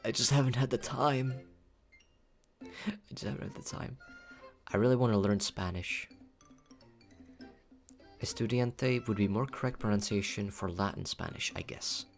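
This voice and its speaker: A man's voice: mid-range voice, moderate pace, long pauses, soft background music. A man chatting casually on a livestream in a playful, cutesy, rambling way, often repeating himself. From Philippines. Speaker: VTuber livestreamer.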